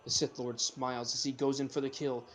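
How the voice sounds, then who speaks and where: A man's voice: medium pitch, flat delivery, a little fast, background noise. A man reading screenplay directions aloud as the narrator of a group script reading.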